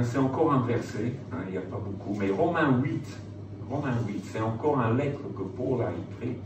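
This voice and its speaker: Male preacher, very lively intonation, hushed-to-loud dynamics, insistent repetitions, echoey room. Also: mid-range voice. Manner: emphatic, repetitive phrasing with rhetorical questions and "hein" tags. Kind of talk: impassioned Bible sermon. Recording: roomy, distant mic, clear background noise.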